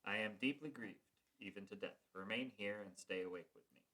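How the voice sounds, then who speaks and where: A man reading the part of Jesus in a church passion play, slow and grave. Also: on the low side, bright, forceful tone, low-quality recording. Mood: solemn. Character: Jesus, calm solemn male teacher.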